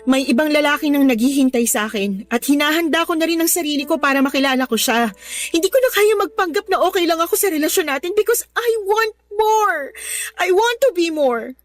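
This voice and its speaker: A woman's voice: high-pitched, expressive, brisk, faint music behind. A woman acting an ambitious, scornful young girlfriend in a Filipino radio drama, with an emotional, cutting delivery. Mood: tearful, firm. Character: ambitious, scornful young girlfriend leaving her poor boyfriend.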